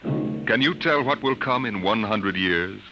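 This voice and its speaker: A man narrating a scripted 1950s radio drama in a dramatic, deliberate manner. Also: lowish voice, dull audio. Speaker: announcer and narrator. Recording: vintage radio recording with music behind.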